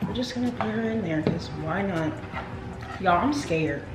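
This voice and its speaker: A woman's voice: mid-range voice, animated, normal speed. Chatty young woman in an echoey kitchen. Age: young adult. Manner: chatty, playful, talks straight to viewers. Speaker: vlogger. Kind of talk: casual vlog chat to camera. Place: home kitchen, indoors. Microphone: camera on the counter. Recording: echoey kitchen with household noise.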